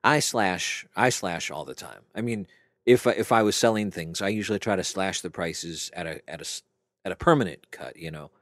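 A man making a prank phone call with deliberate, creepy insinuation. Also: low-pitched, a bit bright, dry recording, studio quality. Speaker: prank caller. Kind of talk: prank phone call in character as a film slasher.

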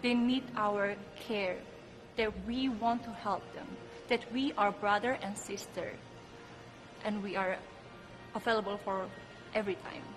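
A woman's voice: mid-range voice, steady tone, measured pace, music in the background. A woman in her twenties with an Indonesian accent, giving earnest, emphatic interview answers in non-native English as a pageant contestant. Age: 20s. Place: indoor press backdrop at a pageant event. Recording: clearly noisy room ambience.

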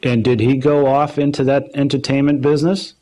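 A man's voice: medium pitch, level tone, a little fast, warm tone. A man asking questions as an interviewer in a question-and-answer conversation.

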